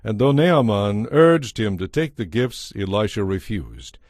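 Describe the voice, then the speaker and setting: Low, dry male Bible narrator. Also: expressive, measured pace, a little mellow, no echo. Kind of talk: scripted dramatized Bible narration. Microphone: close, dry studio microphone. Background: faint music.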